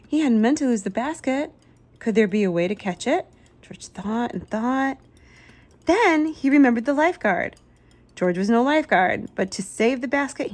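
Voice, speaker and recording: A woman reading a children's picture book aloud expressively, with simple asides to a young listener. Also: mid-range voice. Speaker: children's book reader, channel host.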